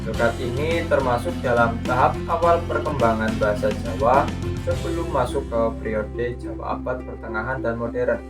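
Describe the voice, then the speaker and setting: A man hosts a podcast and reads his script in a friendly, talkative way, turning often to his listeners. His voice sits in a middle pitch. He sounds a little away from the mic, and plucked guitar music plays clearly under him. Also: normal speed, far from the mic. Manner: chatty, addresses listeners directly. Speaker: podcast host.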